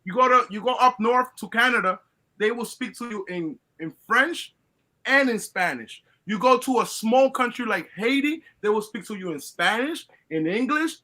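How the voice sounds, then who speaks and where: A man's voice: very high-pitched, expressive, moderate pace, pushed and bright. A man from Colombia joins a live stream to lay out his views in earnest, restarting his sentences as he goes. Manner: earnest, lecturing, many restarts. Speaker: guest caller. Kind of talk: casual opinion talk.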